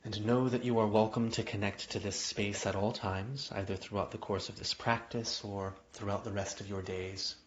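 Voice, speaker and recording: Male meditation guide, lower-pitched voice, gentle invitational delivery with lingering pauses, slightly dull lo-fi sound. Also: steady tone, slight background noise. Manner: gentle, unhurried phrasing with long pauses, invitational. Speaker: yoga nidra guide. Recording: dull, muffled lo-fi audio.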